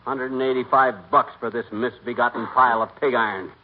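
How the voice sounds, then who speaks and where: A man's voice: mid-range voice, steady tone, a little fast, slight background noise, lightly acted. An American man acting a blustering, boastful, hard-luck husband who grumbles in slang, in the style of 1940s radio comedy. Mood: exasperated. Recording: muffled old 1940s radio broadcast.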